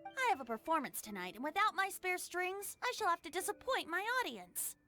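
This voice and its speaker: A woman's voice: extremely high pitch, sing-song, fairly quick, sharp tone, soft background music, heavily acted. A woman voicing a whimsical young male traveling bard with a playful, theatrical, flowery way of speaking in an anime game. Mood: breezy, explaining. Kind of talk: anime video game voice acting.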